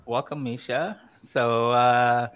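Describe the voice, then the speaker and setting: A man's voice: mid-range voice, steady tone, slow, fairly bright tone. A man hosting a casual weekly online show, welcoming his co-hosts and guest in an upbeat way. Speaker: host. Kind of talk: casual chat.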